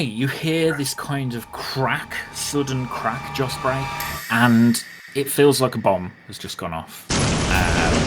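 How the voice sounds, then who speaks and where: A man acting as game master, improvising narration for a tabletop roleplay game in a halting, amused way. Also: fairly low pitch, noisy background.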